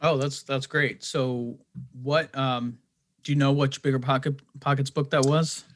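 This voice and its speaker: A man's voice: medium pitch, flat delivery, measured pace. A man hosting a podcast, upbeat and enthusiastic, often restarting his phrases as he asks questions. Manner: upbeat, with frequent stammered restarts. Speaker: podcast host.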